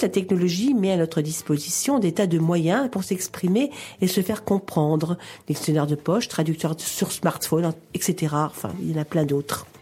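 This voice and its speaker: Husky-voiced woman reading a column. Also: mid-range voice, a little mellow. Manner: measured, reassuring, explanatory. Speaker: radio columnist. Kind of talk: reading a scripted opinion column.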